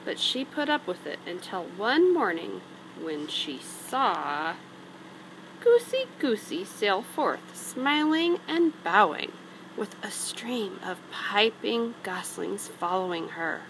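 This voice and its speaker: A woman's voice: mid-range voice, very animated, takes it slowly, background noise. A woman reading a children's story aloud in a narrating style, as a storybook reader.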